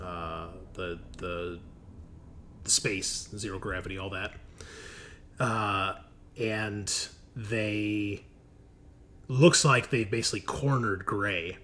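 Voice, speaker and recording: A man improvising narration as game master of a tabletop game, often halting to search for words. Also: on the low side, bright, forceful tone. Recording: noisy room recording.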